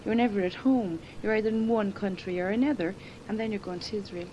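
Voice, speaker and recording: A teenage girl from Cork with a Cork Irish accent, talking fast and jokingly on a tape letter, quick to protest and laugh. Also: mid-range voice, mellow, poor audio. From Ireland. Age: late teens. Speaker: schoolgirl recording a tape letter. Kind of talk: casual chat recorded on a home cassette. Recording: hissy 1980s cassette tape.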